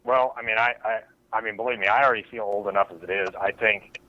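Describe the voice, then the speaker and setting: A man's voice: on the low side, fairly even intonation, fast talker, dull audio. A forty-year-old man chatting on a casual talk show, hesitant and repeating words as he starts sentences. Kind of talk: casual podcast banter.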